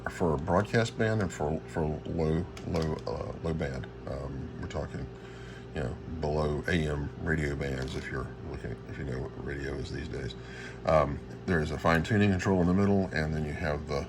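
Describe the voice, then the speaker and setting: A man giving an informal equipment walkthrough as a hobbyist presenter, speaking haltingly and searching for his words. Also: low voice. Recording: clearly noisy amateur recording.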